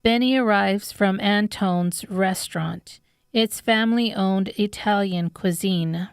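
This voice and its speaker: A woman's voice: medium pitch, takes it slowly, clean tone, no room sound, clean studio sound. A woman reading scripted audiobook narration of fiction. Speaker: author narrating her own audiobook.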